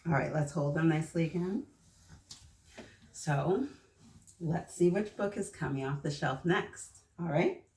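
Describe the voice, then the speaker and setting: A woman hosting children's story time, reading aloud and talking to young listeners in an expressive, encouraging way. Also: low-pitched, unhurried, long pauses. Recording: echoey room, distant mic, faint noise.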